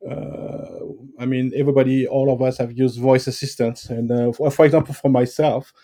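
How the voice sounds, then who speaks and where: A man with a French accent answering interview questions, pausing often with fillers and restarts. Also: mid-range voice, little pitch movement, dark tone. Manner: hesitant, many fillers and restarts. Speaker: guest expert.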